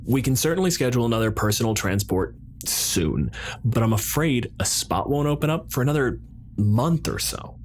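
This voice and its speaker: Guy doing a cool official president type, slow pointed pauses, lively pitch movement, roleplay game. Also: a little hiss or hum, natural voice. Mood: coolly displeased. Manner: deliberate, formal, halting with pointed pauses. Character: measured, bureaucratic male colonial president, coolly authoritative. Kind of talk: improvised roleplay dialogue.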